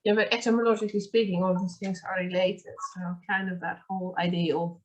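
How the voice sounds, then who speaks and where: A woman's voice: lowish voice, flat delivery, fast talker. A woman with a Dutch accent, a native Dutch speaker, hosting a casual conversation in an eager, chatty way with many fillers. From Netherlands. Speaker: host. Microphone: video call.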